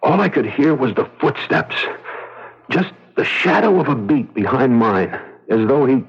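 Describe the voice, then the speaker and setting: An actor playing a haunted, irritable husband and agent in a 1970s radio drama, edgy and defensive, with a husky voice. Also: male voice, mid-range voice, very animated, a little slow, exaggerated voice. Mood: frightened, haunted. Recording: dull lo-fi vintage radio recording.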